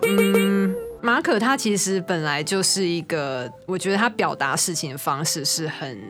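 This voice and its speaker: A woman's voice: on the low side, expressive, normal speed, a bit bright, faint music behind. A woman chatting casually as an interview guest. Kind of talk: casual chat.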